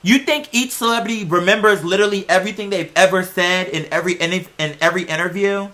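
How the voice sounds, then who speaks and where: An online creator with a bright, forceful, mid-pitched voice ranting casually to viewers. The delivery is sassy and emphatic, full of slang and swearing. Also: fast talker. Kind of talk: casual opinionated rant to viewers.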